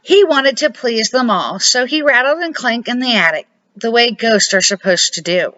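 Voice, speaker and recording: A middle-aged or older woman, a grandmother reading a children's storybook aloud in a deliberate, expressive amateur way. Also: a little high. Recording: dull lo-fi home recording.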